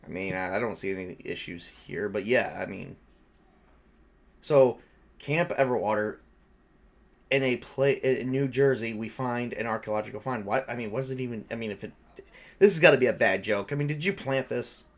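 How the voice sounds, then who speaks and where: A man acting as game master, improvising tabletop roleplay conversationally in a halting, rambling way. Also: mid-range voice.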